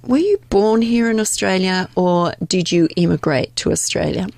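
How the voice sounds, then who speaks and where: A woman's voice: lowish voice, moderate pace, darkish tone, dry recording. A woman hosting an interview, asking questions and affirming her guest attentively. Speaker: host. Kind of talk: interview conversation.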